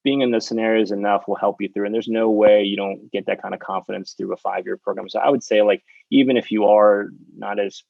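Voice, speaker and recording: Gravelly, flat-voiced talkative man. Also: on the low side, fairly quick, hardly any pauses, no echo. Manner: talkative, self-described loudmouth, rambling with many fillers. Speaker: surgeon mentoring residents. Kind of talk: panel discussion, advice to trainees. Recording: dull lo-fi dry sound.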